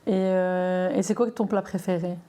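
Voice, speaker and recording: A woman's voice: fairly low pitch, fairly even intonation, moderate pace, warm tone. A young adult woman hosting a casual chat, asking short informal questions and echoing the answers. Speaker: host, interviewer.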